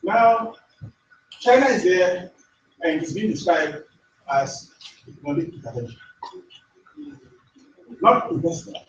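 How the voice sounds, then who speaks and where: An African man, not a native English speaker, makes an emphatic, rhetorical point from the floor of a university conference. His pitch rides high and lively. He sounds distant and echoey, picked up from across the hall. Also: slow pace, long pauses, muffled recording, a little hiss or hum. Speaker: audience member asking a question. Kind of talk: conference Q&A question. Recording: echoey lecture hall, far from mic.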